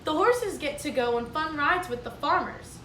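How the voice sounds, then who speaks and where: A woman voicing a group of eager, excitable young lambs in a storybook read-aloud, with a high, excited, whiny manner. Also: normal speed, slight background noise. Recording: echoey room, mic at a distance.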